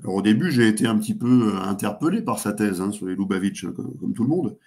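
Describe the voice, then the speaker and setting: A man speaking as a guest commentator, giving geopolitical analysis in a talk show, pausing often with fillers and restarts. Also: fairly low pitch, lively intonation, gravelly, a little mellow. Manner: hesitant, many fillers and restarts.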